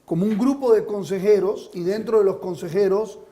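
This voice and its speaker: A man's voice: sits high, normal speed, mellow. A man hosting a talk show, chatting casually and often tagging his points with questions. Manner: questioning, asks rhetorical tag questions.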